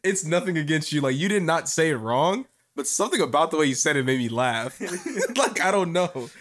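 A man with a Floridian accent in casual chat, animated, slangy and quick to laugh. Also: fairly high pitch.

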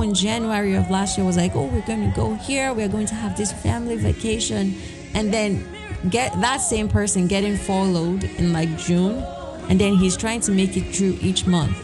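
A woman hosting a solo podcast, talking in an earnest, encouraging way with lots of fillers, her voice a little raspy. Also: medium pitch. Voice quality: slightly raspy. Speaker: podcast host. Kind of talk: solo reflective podcast talk.

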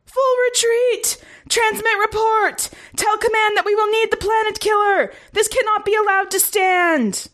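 A woman's voice: extremely high pitch, lively intonation, slow pace, few pauses, fairly bright tone, pitched above the performer's own voice, full character voice. A woman voicing an imperious, outraged alien military commander with tentacles and many eyes, barking orders in a sci-fi story. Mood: panicked shouting.

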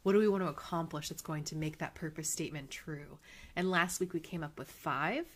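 A woman's voice: low-pitched, fairly even intonation, fairly quick. A woman hosting her own podcast, talking in a casual, upbeat and encouraging way with a slightly nasal voice. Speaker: podcast host. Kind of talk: casual motivational monologue.

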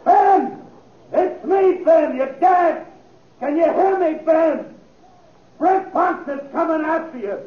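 A man playing a frantic Western character who calls out urgent warnings in drawn-out shouts in a 1950s radio drama. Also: extremely high pitch. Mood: frantic, shouting. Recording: dull, echoey old radio recording.

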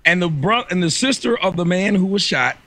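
A man's voice: sits high, expressive, a little fast, sharp tone. A man appearing as a podcast guest, giving blunt, emphatic commentary. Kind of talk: opinionated commentary.

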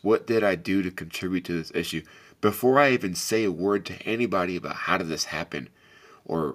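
A man's voice: low-pitched, vocal fry, a bit bright. A man hosting a reflective motivational talk, speaking earnestly and directly to the listener in a big, carrying voice. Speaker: podcast host.

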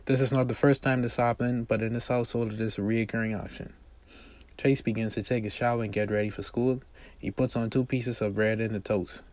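A man's voice: mid-range voice, steady tone, a little fast, darkish tone, no room sound. A man reading a movie script aloud, narrating scene headings and action as its amateur writer.

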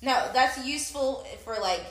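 A young woman co-hosting a casual chat in a playful, teasing, unhurried way. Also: sits high, a bit bright, roomy sound. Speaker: podcast co-host.

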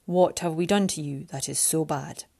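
A woman voicing a proud, cold winged man who is about to rule, speaking low and clipped. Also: normal speed, creaky, dark tone. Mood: stern.

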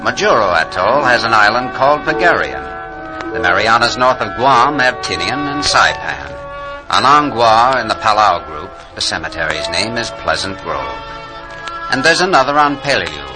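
A man acting as a solemn, formal narrator in 1940s radio style, measured and declamatory, on an old broadcast recording. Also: fairly low pitch, big pitch swings, over music, low-quality recording. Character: solemn memorial narrator reading a roll of war cemeteries. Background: prominent musical score.

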